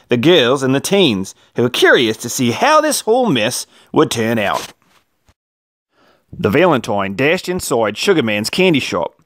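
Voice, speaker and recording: Animated storyteller with very lively pitch. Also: no echo, clean studio sound. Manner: animated, dramatic. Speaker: storyteller reading aloud. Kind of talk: expressive children's picture-book read-aloud.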